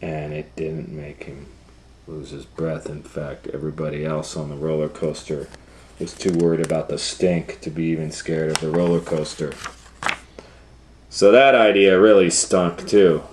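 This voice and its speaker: A man reading a children's picture book aloud as a storytime host, animated and breaking off for chatty asides to the listener. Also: very deep voice, moderate pace, a little hiss or hum.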